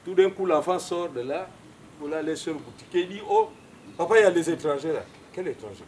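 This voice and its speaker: An older man with a Gabonese accent, an elder whose first language is Ipounou, making a halting formal speech at a customary wedding. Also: fairly high pitch, animated, darkish tone, slight background noise. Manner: halting, searching for French words, many fillers.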